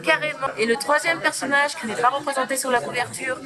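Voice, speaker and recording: A woman author presenting her books as a lively storyteller, with many fillers. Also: high-pitched, fast talker. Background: loud crowd of book fair visitors.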